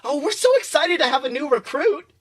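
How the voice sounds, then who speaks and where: A man voicing a small, excitable, eager high school boy in a comic story reading. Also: fairly quick. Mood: excited. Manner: bubbly, excited.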